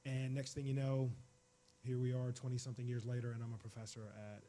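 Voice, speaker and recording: A man's voice: medium pitch, monotone, a little fast, mellow. A man in his forties, a college department chair, telling his career story as a TV interview guest in a friendly, reflective way.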